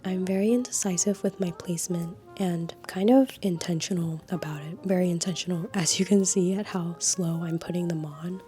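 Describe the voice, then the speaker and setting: A woman with a slightly scratchy voice from a cold, chatting casually and unhurriedly in a low-energy voice-over about her craft supplies. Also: moderate pace, few pauses, warm tone, soft background music. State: a little sick, scratchy voice. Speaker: art vlogger.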